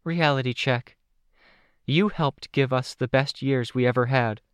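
Man putting on a high-pitched plucky young girl. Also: unhurried, clean tone, no room sound, lightly acted. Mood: earnest, tender. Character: plucky, blunt, sentimental young girl in a superhero story.

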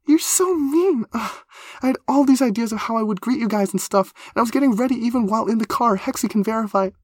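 A man voicing an excitable, nervous young female creature in an audiobook, gushing, flustered and breathless as the words tumble out. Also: mid-range voice, fast, no room sound, clean studio sound, voice pushed up, lightly acted. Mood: horrified, flustered. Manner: gushing, flustered, stammering, emphatic. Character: excitable, nervous teenage girl creature with barbed-wire hair.

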